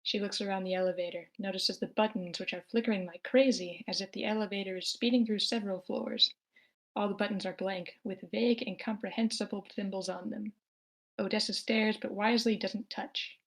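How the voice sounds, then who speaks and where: A woman's voice: medium pitch, fairly even intonation, fairly quick, low-quality recording. A woman narrating scripted stage directions for a live screenplay table read. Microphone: remote online meeting.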